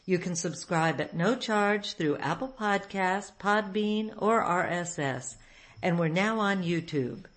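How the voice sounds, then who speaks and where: Slightly low-voiced woman hosting, scripted. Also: slow pace. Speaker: podcast host. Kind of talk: scripted sign-off narration.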